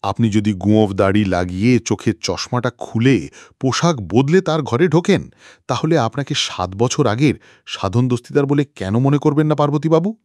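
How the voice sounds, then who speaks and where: A man's voice: medium pitch, lively intonation, normal speed, a little mellow, no echo, studio quality, voice pushed up, natural voice. A man voicing a confident private detective in an audio story, setting out a case in a methodical, emphatic, accusing way. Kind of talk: dramatic audiobook character reading.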